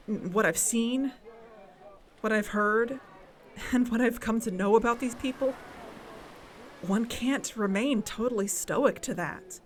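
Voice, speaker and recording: A woman acting a guarded, thoughtful young investigator in a naturalistic audio drama, speaking in a measured, hesitant, introspective way. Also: a little high, slight background noise. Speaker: podcast narrator and lead character. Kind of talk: naturalistic audio drama, reflective narration and dialogue.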